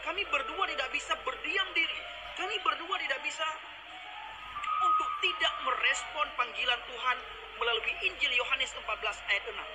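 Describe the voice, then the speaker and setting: A man's voice: very high-pitched, moderate pace, heavy background noise. A man from Aceh preaching emphatically, giving a religious testimony to viewers. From Aceh, Indonesia. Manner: emphatic, declaiming. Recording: loud music underneath.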